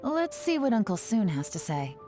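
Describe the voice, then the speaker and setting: A woman's voice: mid-range voice, big pitch swings, normal speed, mellow, close to an everyday voice. A woman voicing a shrewd spy who speaks in a cool, teasing and evasive way. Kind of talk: video game voice acting. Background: game music.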